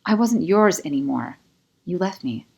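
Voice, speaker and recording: A woman's voice: fairly low pitch, animated, moderate pace, vocal fry, a little mellow, slightly rough, full character voice. A stubborn young woman in a romance audiobook, wounded by an old breakup, played by a woman narrator. She talks with open, defensive emotion. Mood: indignant, hurt.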